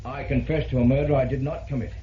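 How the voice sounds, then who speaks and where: An actor playing a despondent, deferential deserter on the witness stand in an old-time radio drama. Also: male voice, mid-range voice, steady tone, fast talker, lightly acted. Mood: despondent, confessing. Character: despondent male deserter on trial for murder, meek witness. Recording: dull, noisy, echoey vintage radio recording.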